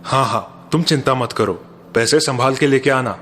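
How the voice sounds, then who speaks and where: A man's voice: mid-range voice, normal speed, fairly bright tone, pitched below the performer's own voice, lightly acted. A man voicing a kindly older father in a cartoon story, approving and full of advice. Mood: reassuring.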